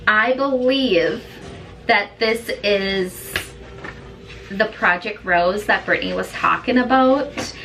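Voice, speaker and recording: A woman beauty vlogger with a hoarse, raspy voice, chatting in an easygoing, rambling way while doing her makeup at home. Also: mid-range voice, slow pace, a bit bright, distant mic, soft background music, poor audio. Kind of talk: chatty get-ready-with-me talk.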